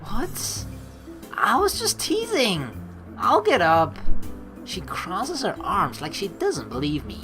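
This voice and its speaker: A woman's voice: mid-range voice, huge pitch swings, slow pace, music in the background. A young woman doing a playful let's play, switching between casual commentary and theatrical narration read aloud.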